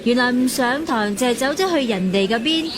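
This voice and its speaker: A woman's voice: a little high, lively intonation, a little fast, background noise, lightly acted. A woman acting a young student who teases her friend in a chatty way, in a radio drama. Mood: teasing. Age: young adult. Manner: teasing, chatty. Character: teasing, sensible young female student friend. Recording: crowd chatter behind the voice.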